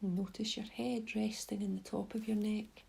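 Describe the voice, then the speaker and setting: Soft, husky woman guiding a meditation. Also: medium pitch, flat delivery. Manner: gentle, unhurried instruction with pauses. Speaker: mindfulness guide. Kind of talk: guided meditation.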